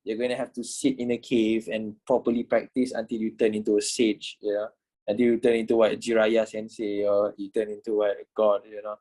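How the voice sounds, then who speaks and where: A man's voice: fairly low pitch, little pitch movement, a little fast, mellow, poor audio. A man in his twenties teaching a casual trading class, swearing, repeating himself and asking "you know?" a lot. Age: 20s. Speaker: trading instructor. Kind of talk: casual online class wrap-up.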